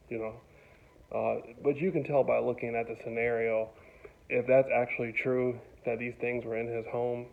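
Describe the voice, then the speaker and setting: A man's voice: medium pitch, creaky. Man rambling over tinny, phone-like audio. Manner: rambling, halting, repeats phrases. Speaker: commentator on local news. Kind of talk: unscripted casual monologue. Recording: phone-like, narrow tinny sound.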